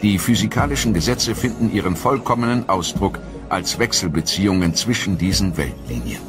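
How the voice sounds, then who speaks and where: A man's voice: low-pitched, animated, moderate pace, music in the background. A man reading a scripted scientific text in a formal, declarative manner.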